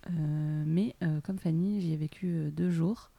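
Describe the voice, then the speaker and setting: Low, gravelly-voiced woman, playful. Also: steady tone, unhurried, warm tone. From France. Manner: playful, self-mocking, laughs often. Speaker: guest. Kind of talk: casual chat.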